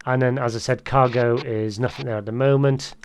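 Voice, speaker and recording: A man's voice: medium pitch, steady tone, a little fast, clear, resonant tone. A man hosting a casual gameplay tutorial, explaining things in a rambling way. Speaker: gaming video host.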